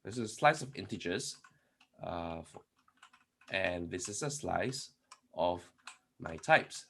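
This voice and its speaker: A man's voice: sits low, lively intonation, slow, creaky, fairly bright tone, slight background noise. A man giving a conference talk on programming, explaining step by step with many restarts and rhetorical questions while typing code. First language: not Polish. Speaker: conference speaker. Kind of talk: live-coding tech talk.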